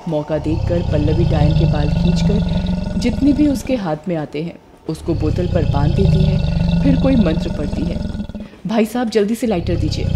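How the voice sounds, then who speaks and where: A woman reading scripted narration for an animated witch story. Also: lowish voice, background noise.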